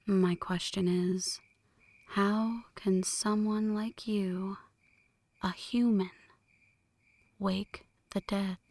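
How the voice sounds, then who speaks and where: A woman playing an ancient, lovestruck vampire in a slow, breathy whispered ASMR roleplay, intimate and solemn, close to the microphone. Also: medium pitch, fairly even intonation, a little mellow, dry recording. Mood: intimate, curious. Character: ancient flirty female vampire, brooding, lovestruck, world-weary. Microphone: close microphone, dry.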